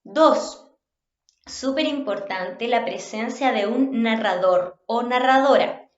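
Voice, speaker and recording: A woman with a Chilean accent giving an instructional talk as a workshop facilitator, in a measured, inviting way. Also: a little high. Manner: measured, inviting, checks understanding. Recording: roomy, slightly dull home recording.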